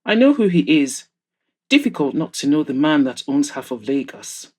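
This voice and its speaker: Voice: mid-range voice, very animated, moderate pace. A narrator voicing a restless, bored young bachelor in an audio story. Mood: dismissive. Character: restless, bored bachelor playboy, adult man. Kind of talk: audiobook-style character dialogue.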